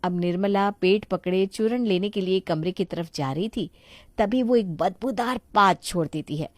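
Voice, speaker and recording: A woman's voice: medium pitch, normal speed, dry recording. A woman reading scripted narration for a moral story as its narrator.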